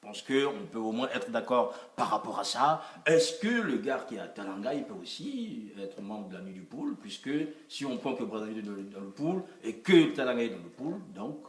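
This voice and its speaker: A man's voice: mid-range voice, big pitch swings, fairly quick, hardly any pauses. Probing male host, roomy sound. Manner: persistent, asks pointed follow-ups. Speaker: interview host. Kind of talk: probing interview questions. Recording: echoey room.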